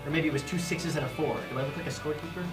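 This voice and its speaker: A man's voice: a little high, fairly even intonation, racing, lightly acted. Comedy table read: male performer as snide, buzzed ladies' man, roomy sound, music underneath. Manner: flippant, snide. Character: buzzed, sleazy, flippant womanizer buddy.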